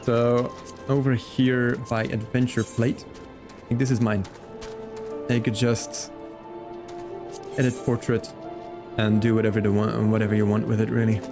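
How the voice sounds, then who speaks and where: A man's voice: medium pitch, level tone, unhurried, long pauses, music in the background. A man chatting casually and playfully with viewers as he plays and comments on a game on a live stream.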